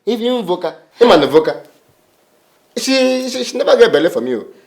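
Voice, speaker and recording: A man's voice: high voice, big pitch swings, moderate pace. An actor playing a Nigerian chief with several wives who speaks in Pidgin and dodges his wife's questions. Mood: flustered. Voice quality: Pidgin-speaking, flustered and evasive. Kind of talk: film drama.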